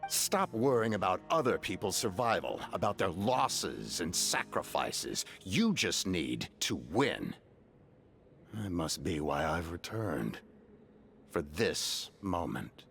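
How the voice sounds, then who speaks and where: Slow, gravelly, taunting spirit. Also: male voice, low-pitched, very animated, a bit bright, soft background music, full character voice. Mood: cold, taunting. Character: cold returned spirit of a soldier, calmly ruthless. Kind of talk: dramatic video game acting.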